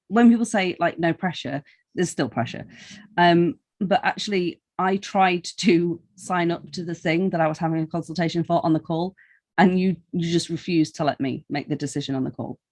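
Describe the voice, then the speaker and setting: A woman's voice: lowish voice, darkish tone. A woman talking casually and informally as an interviewer on a podcast.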